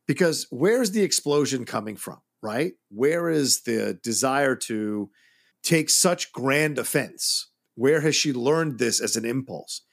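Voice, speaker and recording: A man's voice: medium pitch, lively intonation, takes it slowly, vocal fry. A man co-hosting a casual film discussion podcast, musing animatedly with lots of fillers. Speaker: podcast co-host.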